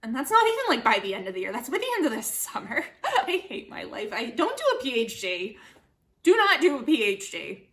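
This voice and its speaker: Female book vlogger, young adult, bright forward voice, casual and chatty, self-deprecating and giggly. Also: a little high, big pitch swings, brisk. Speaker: book vlogger, channel host.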